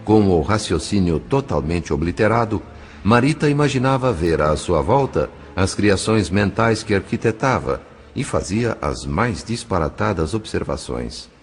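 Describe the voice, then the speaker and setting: A man reading scripted narration for an audio novel in a formal, literary, measured manner. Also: deep voice, very animated. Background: faint music.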